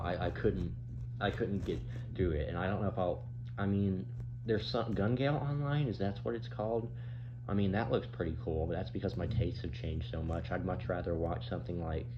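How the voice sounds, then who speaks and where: Rambling young man, low voice, noisy mic. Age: 20s. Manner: rambling, halting, stammering restarts. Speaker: YouTuber giving opinions. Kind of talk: casual rambling commentary. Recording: muffled mic with clear background hum.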